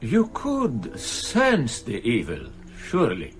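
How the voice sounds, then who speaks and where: An actor playing a grave, questioning priest in an audio drama, speaking deliberately. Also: male voice, medium pitch, wildly swooping pitch, slight background noise.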